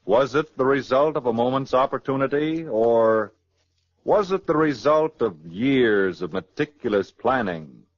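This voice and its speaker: A man's voice: medium pitch, animated, a little slow. A man narrating a scripted case in dramatic 1940s radio style. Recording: dull 1940s radio recording.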